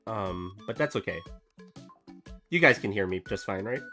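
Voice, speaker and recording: A man's voice: fairly low pitch, animated, normal speed. A man chatting casually while he draws, rambling with many fillers and restarts, still getting over a cough. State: recovering from a cough. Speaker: artist streaming a drawing session. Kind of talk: casual chat while drawing. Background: soft background music.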